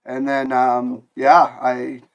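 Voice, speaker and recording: A man's voice: mid-range voice, completely flat delivery, takes it slowly, clean tone, dull audio. A young man hosting a podcast, chatting with a guest in an easygoing, friendly, bantering way. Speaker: podcast host. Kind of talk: casual interview chat.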